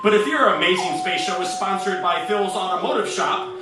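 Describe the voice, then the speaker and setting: Man playing a high-voiced, grumbling radio space hero. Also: fairly quick, heavy background noise, natural voice. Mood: exasperated. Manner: grumbling, melodramatic. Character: hammy, exasperated space-adventure hero of a children's show. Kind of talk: old-time radio space serial parody acting. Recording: echoey, distant, with music behind.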